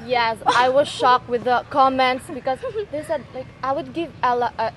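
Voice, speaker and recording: A woman's voice: high voice, steady tone, normal speed, low-quality recording. A nineteen-year-old woman with a Filipino accent answering hesitantly as an interview guest, outdoors with street noise. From Philippines. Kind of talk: casual chat. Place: outdoor bench in a public plaza.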